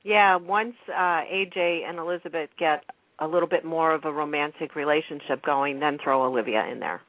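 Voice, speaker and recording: A woman's voice: sits low, moderate pace, no echo. A woman making casual, agreeable conversation on a radio talk show in an informal way. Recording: narrow phone-like radio sound.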